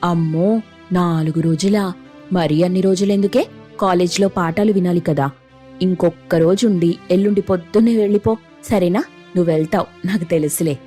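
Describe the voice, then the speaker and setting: A woman's voice: on the low side, steady tone, normal speed, mellow, faint music behind, close to an everyday voice. A woman playing an anxious, jealous wife and elder sister who scolds and bosses in a family story. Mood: coaxing. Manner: scolding, suspicious.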